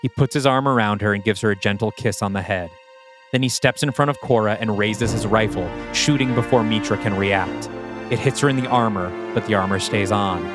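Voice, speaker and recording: A man's voice: lowish voice, brisk. A man reading scripted, screenplay-style narration for an audio play. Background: background music.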